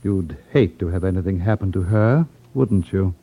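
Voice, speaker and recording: An actor playing a menacing, smoothly pompous crime boss in 1950s radio drama style. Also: male voice, deep voice, normal speed, mellow. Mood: wary, threatening. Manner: smooth, mockingly polite, pompous. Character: suave, menacing crime boss of a betting racket. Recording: dull 1950s radio recording.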